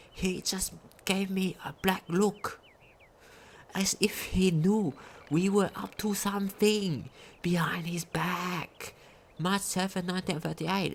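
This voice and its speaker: A woman reads diary entries aloud as part of a game commentary. Her voice is creaky and often drops into fry, and she trips over words and backtracks. A faint noise sits behind the home recording. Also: sits low. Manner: halting reading with stumbles and misread words. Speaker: game player reading in-game text aloud.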